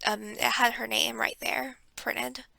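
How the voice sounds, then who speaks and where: A young woman quietly telling a personal story in a home vlog, keeping her voice hushed, with frequent ums and pauses, close to a desk microphone. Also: a little high, level tone, gravelly, dry recording. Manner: hushed and reflective, with many ums. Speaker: vlogger.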